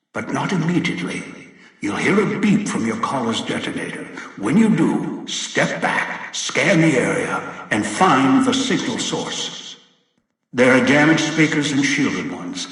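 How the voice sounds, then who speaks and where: An actor playing a cold, controlling old villain in a video game, speaking in a deliberate, commanding and menacing way. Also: male voice, mid-range voice, a bit bright. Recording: very echoey, distant, long reverb.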